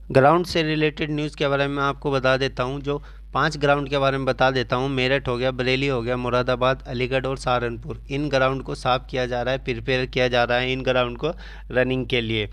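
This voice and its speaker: A man speaks as a coaching channel host giving students an update. His mid-range voice stays very even and flat in pitch. He explains point by point, repeating key phrases and mixing in English terms. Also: a little fast, dry recording. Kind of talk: informational exam update addressed to students.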